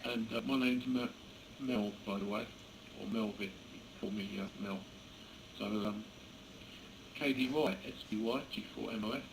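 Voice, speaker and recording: A man chatting casually as an amateur radio operator, halting and hesitant as he talks. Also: fairly low pitch, fairly even intonation, creaky, noisy background. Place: indoors beside a computer.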